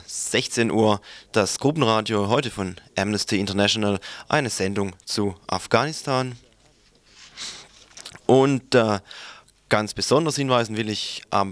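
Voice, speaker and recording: A man's voice: on the low side, dry recording. A man presenting programme tips live on the radio.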